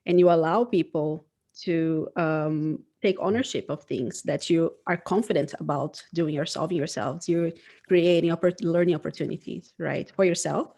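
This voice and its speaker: Female design manager, lowish voice, thoughtful and conversational, checks in with "right?". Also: steady tone, normal speed, gravelly, dark tone. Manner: thoughtful, frequent "right?" tags. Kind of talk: casual conversation.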